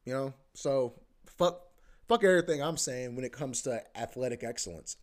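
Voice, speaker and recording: Twenties male podcast host, loose and rambling, mid-pitched voice. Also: normal speed, a little mellow. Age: 20s. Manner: laid-back, slangy, rambling with many fillers. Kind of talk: casual solo podcast monologue.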